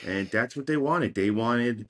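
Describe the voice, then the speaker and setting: Bright hype-man sports podcast co-host. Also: mid-range voice, a little slow. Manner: hype-man delivery, punchy catchphrases. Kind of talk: casual sports podcast chat.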